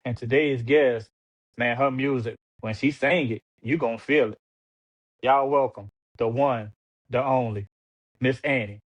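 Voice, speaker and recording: A man's voice: medium pitch, fairly even intonation, a little slow, slightly rough. A man hosting a podcast, asking questions in a laid-back, slangy, hype-man way. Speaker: podcast host. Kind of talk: casual podcast interview. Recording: dull lo-fi sound.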